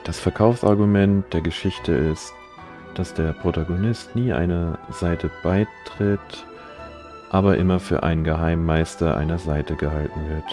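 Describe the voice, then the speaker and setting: A man with a low, dark and relaxed voice reads on-screen game text aloud for a livestream. He sounds offhand, with faint game music in the background. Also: normal speed. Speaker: game streamer.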